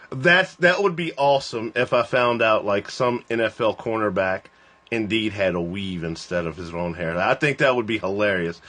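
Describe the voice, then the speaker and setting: A man in his forties hosting a casual joking livestream chat, rambling and laughing as he talks. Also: normal speed. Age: 40s. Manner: rambling, laughing, lively swings in pitch. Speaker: host of a livestream. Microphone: phone.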